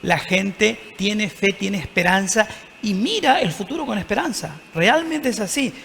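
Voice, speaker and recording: High, gravelly Argentine priest. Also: male voice, lively intonation, a little slow, a bit bright, long reverb tail, slight background noise. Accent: Argentine Spanish. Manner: earnest, reflective, preaching tone. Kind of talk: TV panel discussion.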